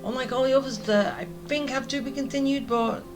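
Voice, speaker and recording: A woman's voice: high voice, brisk. Chatty woman doing casual gameplay commentary. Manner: chatty, thinking aloud. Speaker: video game let's player. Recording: faint game music behind. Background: faint game music.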